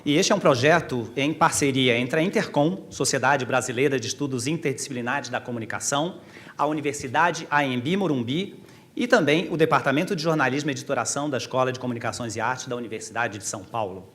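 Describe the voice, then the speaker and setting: A man's voice: mid-range voice, fairly bright tone. Formal Brazilian man moderating. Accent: Brazilian Portuguese. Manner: measured, reads guest credentials. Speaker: host and debate moderator. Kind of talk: formal panel introduction.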